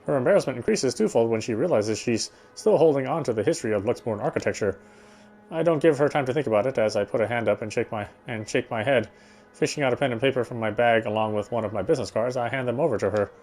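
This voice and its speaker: A man's voice: mid-range voice, fast talker, a little mellow. A man reading visual novel narration aloud as he plays. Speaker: let's player reading a game aloud.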